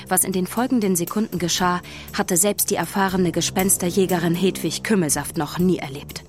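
A woman's voice: on the low side, fairly even intonation, brisk, lightly acted. A woman playing a storyteller who witnessed a haunting, narrating a children's radio play with suspense. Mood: suspenseful. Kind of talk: scripted dramatic narration for children. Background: music.

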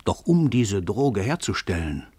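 Arrogant, declamatory famous crime writer. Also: male voice, fairly low pitch, sing-song, measured pace, a little mellow, slightly rough, no echo, heavily acted. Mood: impassioned. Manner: declamatory, domineering, emphatic. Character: world-famous male crime writer, arrogant, cynical confessed murderer. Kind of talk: theatrical German radio drama monologue.

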